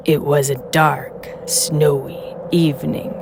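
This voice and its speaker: A woman's voice: deep voice, level tone, takes it slowly, vocal fry, background noise, exaggerated voice. A woman voicing a small, boastful, easily miffed male dragon telling a tale from a children's storybook in a theatrical, self-important way. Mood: dramatic storytelling. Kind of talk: children's storybook character reading.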